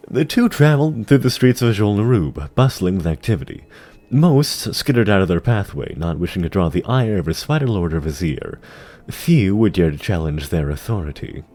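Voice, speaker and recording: Low-voiced man narrating a fantasy tale. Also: very animated, moderate pace, mellow, faint music behind. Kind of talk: scripted fantasy story narration.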